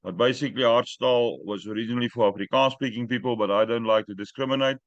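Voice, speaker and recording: A man's voice: medium pitch, fairly even intonation, no echo. Man hosting a muffled online call. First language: not English. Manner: direct, plain-spoken, halting. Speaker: host. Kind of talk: casual group call chat. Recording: dry muffled call audio.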